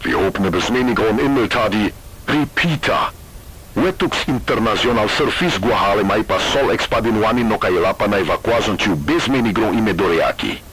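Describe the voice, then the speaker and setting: A man's voice: fairly low pitch, expressive, fairly quick, fairly bright tone, a little hiss or hum, low-quality recording. A man reading a formal scripted emergency announcement.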